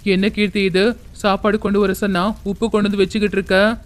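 Man playing a high-pitched, stern, bossy boss. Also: level tone, brisk, close to an everyday voice. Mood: angry, scolding. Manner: commanding, scolding. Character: stern, bossy male hotel owner, impatient.

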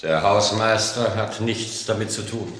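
Deep, slow, sinister man. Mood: curt, cool. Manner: terse, calm, quietly menacing. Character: sinister, unhurried, laconic male elevator operator. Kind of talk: 1940s German radio play acting. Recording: noisy vintage radio drama recording.

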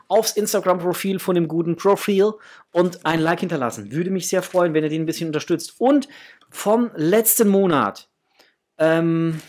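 A man in his thirties with a slightly husky voice, chatting informally to viewers as host of an unboxing video. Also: sits high, darkish tone. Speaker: host of a toy collecting channel. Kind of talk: casual chat, unboxing to camera. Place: small room at home, indoors.

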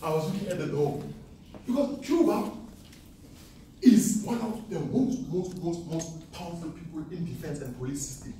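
A young man from South Africa giving an animated, boastful trading seminar to students, often laughing at his own lines. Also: fairly high pitch, moderate pace, slight background noise. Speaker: forex trading speaker. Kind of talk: live seminar talk to students. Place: classroom with seated audience. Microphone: far camera, no mic. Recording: echoey classroom from far off.

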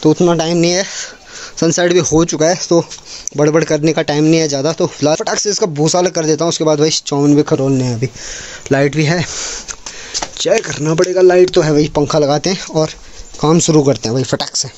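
A man's voice: a little high, fairly even intonation, fairly quick, darkish tone, a little hiss or hum. A young man from the Himalayan hills filming a casual village vlog on his phone at arm's length, chatting to viewers as he goes about chores. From Uttarakhand hills, India. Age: young adult. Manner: chatty, keeps calling viewers brother. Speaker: village vlogger. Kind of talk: casual vlog talk to camera. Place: village house and yard, indoors and outdoors. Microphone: phone camera held at arm's length.

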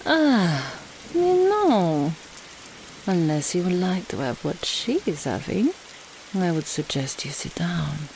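Menacing French female thrall, played by a woman, mocking, very unhurried, lowish voice, audio roleplay. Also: very animated, a little mellow, a little hiss or hum, heavily acted, low-quality recording. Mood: cold menace. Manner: slow, deliberate, mocking.

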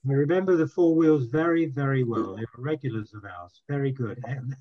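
A man's voice: mid-range voice, highs cut off, crowd noise. An older man, the guest speaker, giving a talk and taking questions on a video call in a room at home, in a friendly, chatty way. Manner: friendly, chatty, quick to agree.

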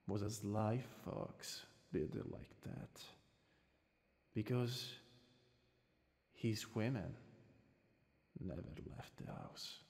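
A man with an Italian accent playing a courteous, sly vampire lord in a hushed, halting ASMR roleplay. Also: on the low side, vocal fry, exaggerated voice. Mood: sly, reminiscing. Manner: halting, hushed, slipping into whispers and soft chuckles. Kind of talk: ASMR roleplay, improvised acting. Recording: reverberant hall sound with music behind. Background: music.

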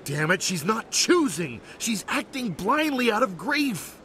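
A man's voice: sits high, very animated, unhurried, bright, forceful tone, a little hiss or hum, heavily acted. An actor playing an anguished middle-aged man in a video game drama, urgent and argumentative as he pleads for his family. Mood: angry. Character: anguished, insistent brother-in-law pleading for the baby. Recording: music behind the voice. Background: music.

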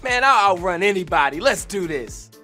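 A man's voice: high voice, sing-song, moderate pace, bright, forceful tone, heavily acted. An American man playing a cocky, boastful young superhero soldier in video game voice lines, with slangy, swaggering, punchy delivery. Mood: cocky, hyped. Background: music.

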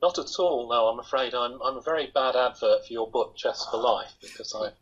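A man's voice: medium pitch, a little fast, fairly bright tone, slightly rough. An older man chatting as an interview guest over a video call from his home, in a rambling, self-deprecating way. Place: home room, indoors. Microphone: laptop webcam on a video call. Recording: dull lo-fi video call audio.

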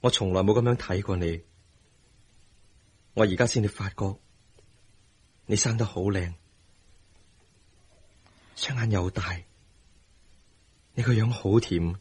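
Radio drama husband: tender, earnest, remorseful, Hong Kong Cantonese accent, lowish breathy voice. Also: male voice, slow pace, long pauses, darkish tone, full character voice. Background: music.

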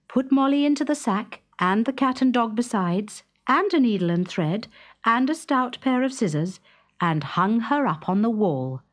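A woman narrating a children's story in a dramatic, expressive, suspenseful storybook style. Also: fairly high pitch.

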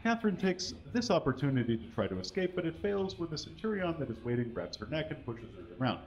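A man giving a live comedic reading of fan fiction on a small stage, mostly dramatic with deadpan asides, reading punctuation aloud. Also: on the high side, fast. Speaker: comedic reader. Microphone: stand mic. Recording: dull, lo-fi live recording.

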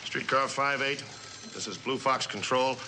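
A man's voice: medium pitch, measured pace, natural voice. Male actor as air force general, mid-range voice, stern and brusque authority, classic suspense acting, noisy soundtrack. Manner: authoritative, curt, skeptical. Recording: noisy vintage drama soundtrack.

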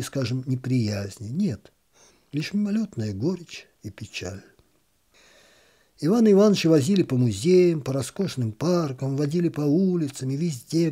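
A man's voice: mid-range voice, warm tone, husky. A man narrating a literary short story as an audiobook reader.

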